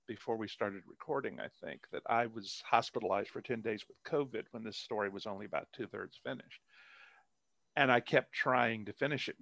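A man's voice: lowish voice, vocal fry, a bit bright, dry recording. A man, an author, chatting casually in an interview over a video call, rambling with many fillers and restarts.

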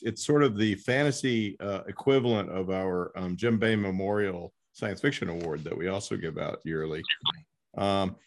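A man's voice: on the low side, a little hiss or hum. A man hosting a podcast, moving between scripted announcements and casual interview talk. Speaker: podcast host.

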